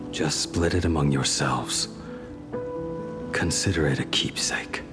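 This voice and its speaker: A man playing a stoic, world-weary ancient swordsman in a video game, grave and terse, his phrases often sinking into a breathy hush. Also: a bass voice, full character voice. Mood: stoic, reserved. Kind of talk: dramatic video game voice acting.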